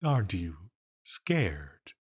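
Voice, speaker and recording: A man's voice: low-pitched, sing-song, slightly rough, full character voice. A man playing an ancient banished villain, elegant and menacing yet fond, in a scripted intimate audio roleplay with formal, theatrical phrasing. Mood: curious, teasing. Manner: slow, deliberate, theatrical, formal phrasing with pauses.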